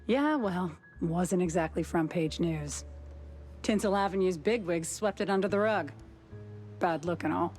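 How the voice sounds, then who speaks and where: A woman's voice: on the low side, measured pace, a little mellow, soft background music, natural voice. Sardonic female noir reporter, acted by a woman. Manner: sardonic, teasing, probing.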